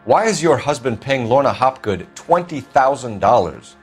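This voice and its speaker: An actor playing a stern, formal 1940s police detective with a blunt, accusatory manner in a crime game. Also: male voice, medium pitch, sing-song, moderate pace, breathy edge, soft background music. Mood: accusing.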